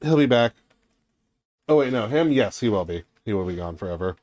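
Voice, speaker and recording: A man's voice: lowish voice, lively intonation, fairly quick, a little mellow. A man co-hosting a casual game stream, guiding his partner through the game, teasing and swearing freely. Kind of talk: casual gameplay chat.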